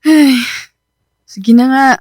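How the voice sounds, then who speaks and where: A woman's voice: high voice, drawn-out words, darkish tone, no echo, clean studio sound, exaggerated voice. A woman playing an anxious, hesitant, pleading girlfriend in a Filipino radio drama.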